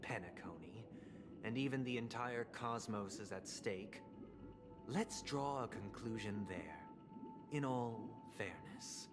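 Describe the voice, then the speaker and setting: Composed, formal gentleman for a video game, ceremonious, fairly low, with clear backing music. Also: expressive, takes it slowly. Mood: formal, challenging. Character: formal, courtly, composed male host issuing challenge. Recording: background music under voice.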